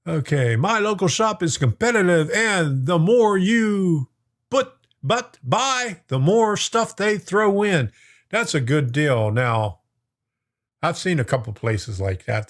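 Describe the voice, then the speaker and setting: A middle-aged man hosting a model-train live stream, chatting casually and reading viewer comments. His voice is getting worn out, and he chuckles often and greets viewers by name. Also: fairly high pitch. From lives in Florida.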